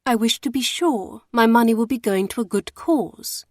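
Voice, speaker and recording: Sly society lady playing simpering fool, high and gushing; woman reader. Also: normal speed, darkish tone, no echo, studio quality, close to an everyday voice. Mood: coy gushing. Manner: coy, gushing, wide-eyed. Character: sly noblewoman feigning simpering sweetness.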